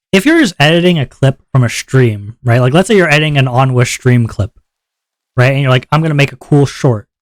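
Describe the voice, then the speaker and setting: Chatty streamer man, dry close mic. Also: dry recording. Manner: upbeat, chatty, checks in with chat often; relaxed lower voice after a viewer redeem. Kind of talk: casual livestream chat explaining rules.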